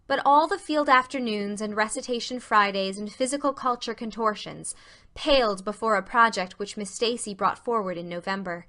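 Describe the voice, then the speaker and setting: A woman's voice: mid-range voice, moderate pace, sharp tone. A woman narrator reading a classic novel as scripted storybook narration.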